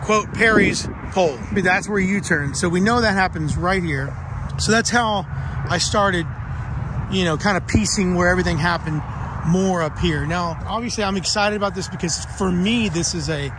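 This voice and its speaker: A man walking and talking through an old filming location, excited and rambling, restarting his sentences as he films on a handheld phone outside. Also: high-pitched, normal speed. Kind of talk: casual walking vlog. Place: outdoors on an old overgrown road. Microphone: handheld phone camera. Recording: loud outdoor background noise.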